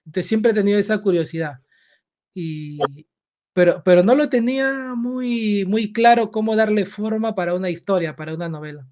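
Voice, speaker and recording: A man in his thirties with a Peruvian accent, an author answering interview questions hesitantly with many fillers and pauses. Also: sits high, a little mellow, dull audio. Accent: Peruvian Spanish. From Peru. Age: 30s.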